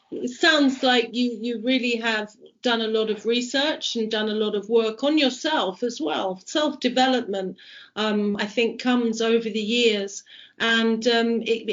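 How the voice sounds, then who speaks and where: A woman interviewer with a fairly high voice encourages her guest and often stumbles and repeats words as she builds her questions. The sound is dull and lo-fi. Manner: encouraging, with hesitant restarts. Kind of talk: conversational interview.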